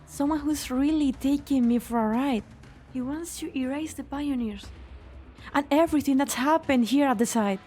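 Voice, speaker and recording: A woman's voice: high-pitched, moderate pace, darkish tone, close to an everyday voice. A woman playing an earnest, anxious young female pioneer in a game fan dub. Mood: earnest, worried. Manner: earnest, urgent.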